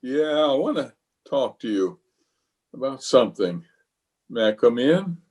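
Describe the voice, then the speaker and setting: A man's voice: medium pitch, big pitch swings, measured pace, darkish tone. Man acting a blustering pompous uncle, swooping delivery. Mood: nervous hedging. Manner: pompous, exasperated, hemming and hawing. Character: blustering, flustered middle-aged bachelor uncle, a pompous commissioner. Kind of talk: old-time radio comedy acting.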